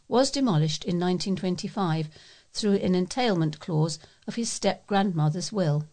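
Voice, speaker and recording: A woman's voice: medium pitch, a little mellow. A woman reading scripted local news aloud as a volunteer newsreader. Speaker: volunteer newsreader for a talking newspaper.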